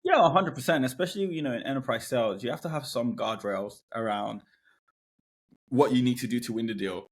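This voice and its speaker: A man's voice: mid-range voice, fairly quick, slightly rough. Sales guy on a podcast, says it straight, chuckles at his own takes. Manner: blunt, opinionated, laughs between points. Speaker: podcast guest, sales leader. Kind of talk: casual business podcast chat.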